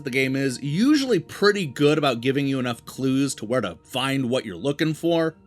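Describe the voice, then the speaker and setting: Animated man reviewing games over music. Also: medium pitch. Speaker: video game reviewer and show host. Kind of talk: scripted review narration. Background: background music.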